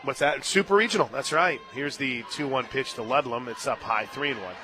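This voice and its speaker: An American man working as a radio play-by-play announcer, mixing animated game calls with casual conversational banter. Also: a little fast, music in the background. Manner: animated calls that swell to shouts on big plays, conversational between pitches. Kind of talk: live sports commentary with casual banter. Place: radio broadcast booth at a ballpark.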